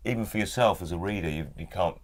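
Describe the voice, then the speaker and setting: A British man, a guest narrator in a recording studio, chatting in a relaxed, good-humoured way. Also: low voice, fast. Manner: relaxed, good-humoured, trailing off mid-sentence. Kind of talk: casual chat.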